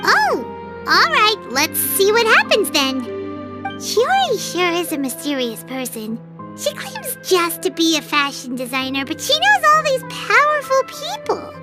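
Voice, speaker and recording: A woman's voice: very high voice, huge pitch swings, unhurried, over loud music, heavily acted. A woman voicing a small, chirpy, curious childlike fairy companion in a video game, full of exclamations. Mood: wondering. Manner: exclaims, refers to self in third person.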